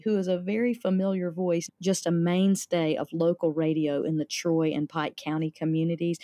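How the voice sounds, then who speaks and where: Woman, slightly low voice, mellow, enthusiastic, dry studio. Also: no room sound. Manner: enthusiastic, warm praise. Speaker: theater director and guest. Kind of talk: casual radio interview chat.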